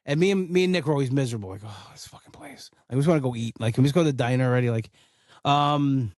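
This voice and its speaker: Man, forties, podcast host, casual rambling talk, mid pitch, dry. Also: racing, darkish tone, no room sound. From Italian-American household. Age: 40s. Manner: rambling, full of "like" and restarts.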